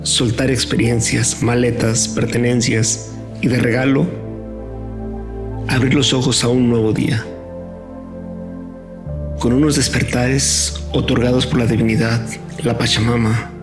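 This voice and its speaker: Calm man narrating over music. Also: medium pitch. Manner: calm, unhurried, poetic. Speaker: vlog narrator. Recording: voice-over with soft music behind.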